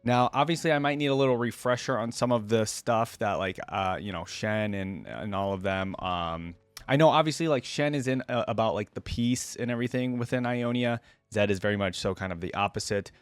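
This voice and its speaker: A man with a fairly low voice hosts a reaction video in a casual, conversational style. He moves between commentary and reading aloud, and the sound is dry with little room in it. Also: brisk, clean tone, dry recording, soft background music. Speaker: YouTube reaction host.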